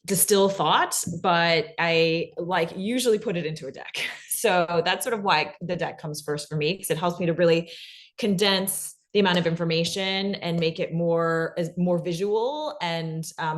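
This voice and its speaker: A woman's voice: fairly low pitch, steady tone, normal speed. A woman speaking as an expert guest in a casual panel talk, explaining things informally and often saying "like" and "you know". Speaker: expert guest on pitching audio drama.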